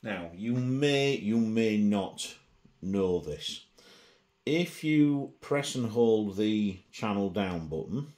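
A man's voice: fairly low pitch, lively intonation, slow, a little mellow. A middle-aged man giving a casual hands-on gadget demonstration, presenting indoors at a table.

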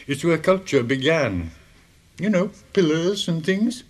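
A man's voice: on the high side, expressive, a little slow, breathy edge. A man in a radio drama playing a mild, apologetic, unworldly headmaster with a meek, dithering manner. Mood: vague, fond.

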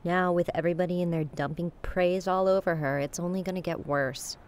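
A woman's voice: fairly low pitch, fairly even intonation, fairly quick, a little mellow, slight background noise, natural voice. An actress playing an aggrieved young woman talking casually with a close friend in a naturalistic audio drama. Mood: upset. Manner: frustrated, casual.

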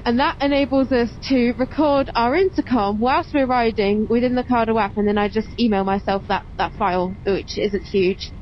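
A woman's voice: on the high side, steady tone, moderate pace, hardly any pauses. Motovlog host: woman, casual and explanatory, dull helmet-mic sound with engine and road noise behind. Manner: chatty, explanatory. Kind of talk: casual vlog chat while riding. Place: on a motorbike on open roads. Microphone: helmet intercom mic.

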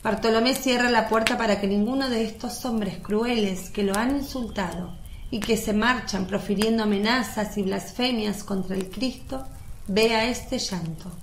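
A woman's voice: medium pitch, normal speed. A woman reading scripted narration for a radio drama. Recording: roomy echo, faint background noise.

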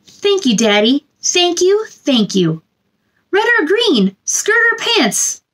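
Female children's read-aloud host, thirties, high voice, expressive and simple delivery for young viewers. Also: takes it slowly. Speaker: children's story time host. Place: small indoor room.

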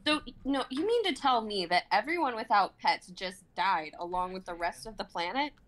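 A woman's voice: medium pitch, lively intonation, normal speed, fairly bright tone, lightly acted. A woman in her twenties playing a bewildered, anxious pet owner, incredulous and questioning, in a dramatic script reading. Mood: upset, incredulous. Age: 20s. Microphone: microphone on a video call.

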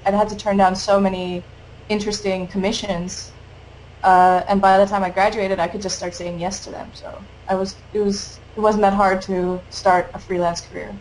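A young Dutch woman talks casually and quickly, full of fillers and little laughs, her English carrying a mostly American accent. She sits in a small home studio and speaks into a webcam on a video call, with a faint hiss of noise and room ambience behind her. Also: mid-range voice, rough recording. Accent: American-leaning English. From Netherlands. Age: 20s. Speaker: guest artist. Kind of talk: casual interview conversation.

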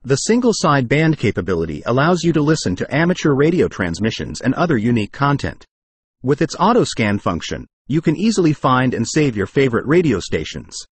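A man with a husky voice reads a scripted product review in a formal, explanatory way. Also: medium pitch, big pitch swings, fairly quick, dry recording. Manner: formal, read-aloud explanatory delivery.

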